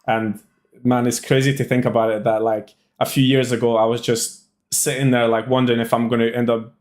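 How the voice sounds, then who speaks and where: A man's voice: medium pitch, level tone, fairly quick, darkish tone. A young man from Albania who moved to the UK as a child, answering casually as an interview guest in an informal, hesitant way. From Albania, moved to the UK at six. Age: young adult. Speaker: interview guest, student trader.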